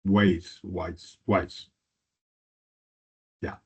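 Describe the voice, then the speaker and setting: A man teaching an online business class, halting and repeating words as he calls on students. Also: sits low, flat delivery, vocal fry, husky. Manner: halting, repeats words, drops into Spanish and Italian. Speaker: instructor. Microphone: video call. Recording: muffled video call audio.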